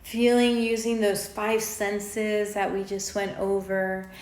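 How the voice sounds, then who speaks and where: A woman leading an unscripted guided meditation in an informal, conversational way, with halting pauses. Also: mid-range voice, little pitch movement, darkish tone. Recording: roomy echo on the voice.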